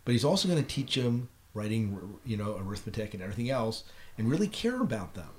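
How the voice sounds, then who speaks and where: A man's voice: on the low side, very animated, fast. A middle-aged American man hosting a podcast, chatting casually about what he has been watching and reading. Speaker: podcast host. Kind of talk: casual chat about shows and films. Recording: clearly noisy podcast recording.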